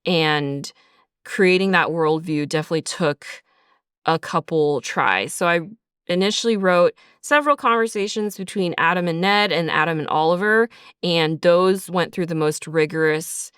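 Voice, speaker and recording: A woman's voice: fairly low pitch, moderate pace, fairly bright tone, smooth, no room sound, clean studio sound. A woman guest speaking casually and reflectively as a writer in an interview, thinking aloud as she goes. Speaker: writer and creator of an audio drama, guest. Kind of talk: casual behind-the-scenes interview.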